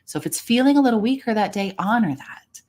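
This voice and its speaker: A middle-aged woman speaking as a voice coach in a podcast conversation, measured and encouraging as she advises listeners. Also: fairly high pitch, animated, darkish tone.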